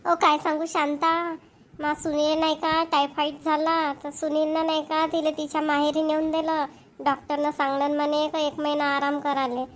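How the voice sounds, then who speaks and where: Cartoon mother-in-law, older, female performer, high voice, Vidarbha Marathi accent, forever nagging and feeling sorry for herself. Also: level tone, moderate pace, slight background noise, natural voice. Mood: complaining. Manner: nagging, complaining. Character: grumbling, self-pitying older mother-in-law. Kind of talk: amateur cartoon dialogue.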